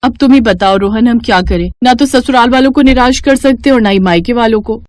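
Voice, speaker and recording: Young bahu, anxious and polite, woman voicing her, higher than the narration, dry close mic. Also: a little fast, darkish tone, dry recording, natural voice. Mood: worried. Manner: pleading, polite. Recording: dry close recording with faint music.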